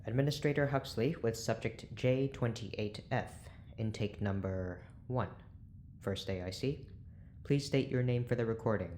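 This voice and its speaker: A man's voice: medium pitch, normal speed, darkish tone, a little hiss or hum, lightly acted. A man acting as a stern, impatient prison research doctor in an audio drama, speaking in a clipped, formal, exasperated way.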